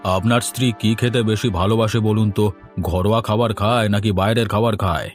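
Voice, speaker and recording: Low male voice, actor as calm firm doctor, instructive, cartoon dialogue, music behind. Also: a little fast, close to an everyday voice. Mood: firm, advising. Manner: instructive, admonishing.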